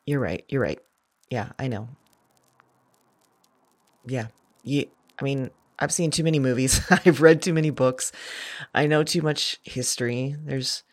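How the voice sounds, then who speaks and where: A woman acting a searching, anxious fugitive visionary who talks to spirits, halting and correcting herself in an audio drama. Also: a bass voice. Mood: sheepish, earnest. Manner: halting, self-correcting, repeats words. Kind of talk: naturalistic audio drama monologue.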